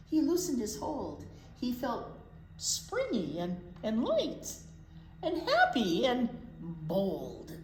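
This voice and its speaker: A woman reading a picture book aloud to young children as a storytime teacher, expressively, with deliberate pauses and little asides. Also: medium pitch, a little mellow. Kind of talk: animated picture book read-aloud for preschoolers. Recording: roomy echo, faint background noise.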